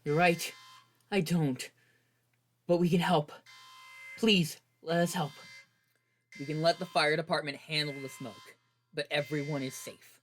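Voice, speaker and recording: A man playing an earnest, excitable young superhero with a reassuring manner in an audio drama. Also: on the high side, a little slow, pauses often, faint music behind. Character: eager, earnest young male superhero, easily starstruck.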